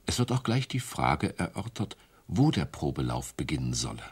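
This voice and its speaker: Very deep, lively male narrator. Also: moderate pace, slightly rough. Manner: storytelling, dramatic. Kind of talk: radio drama narration.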